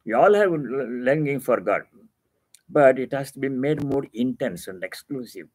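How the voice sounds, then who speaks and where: Indian accent guy, swami doing a scripture talk, medium pitch, repeats stuff for emphasis, pauses a lot, pretty dry sound. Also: lively intonation, slightly rough, no echo. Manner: emphatic, repeats key phrases, with deliberate pauses; explains Sanskrit terms didactically. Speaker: swami giving a religious discourse. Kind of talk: scripture reading with devotional commentary.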